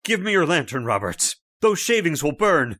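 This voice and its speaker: A man's voice: a little high, very animated, moderate pace, sharp tone, a little hiss or hum. Man acting a melodramatic, swooping, desperate father. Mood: grimly determined. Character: desperate, anguished father, grimly defiant. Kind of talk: old-time radio horror acting.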